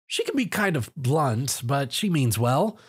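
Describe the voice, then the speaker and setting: A man's voice: mid-range voice, big pitch swings, measured pace, slightly rough. A man voicing an eager, earnest young boy dragon in a storybook reading.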